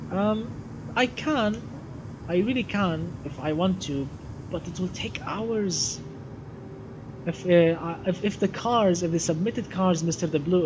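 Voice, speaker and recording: A man in his twenties with a Syrian Arabic accent, chatting enthusiastically to viewers as a live gaming streamer and often repeating his phrases. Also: fairly high pitch. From Damascus, Syria. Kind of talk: casual live gaming stream chat and commentary. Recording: muffled lo-fi audio, clear background noise.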